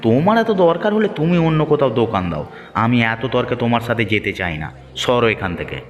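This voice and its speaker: A man's voice: medium pitch, big pitch swings, quick pace, natural voice. A man voicing a stubborn, irritable village market vendor in a cartoon, curt and argumentative. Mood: irritated. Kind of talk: cartoon dialogue acting. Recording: long reverb, music behind.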